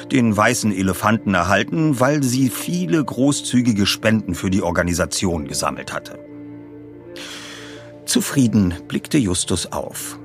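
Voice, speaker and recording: Lively male story narrator, music behind. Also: fairly low pitch. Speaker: host and audiobook narrator. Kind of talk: dramatic scripted story narration.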